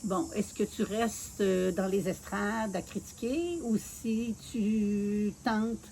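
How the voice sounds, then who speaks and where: A woman's voice: mid-range voice, vocal fry, mellow, a little hiss or hum. Earnest Québécoise woman in her sixties. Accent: Quebec French. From Quebec City, Canada. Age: 60s. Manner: measured and earnest, with many filler pauses. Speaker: mayoral candidate being interviewed. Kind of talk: casual interview, telling her life story.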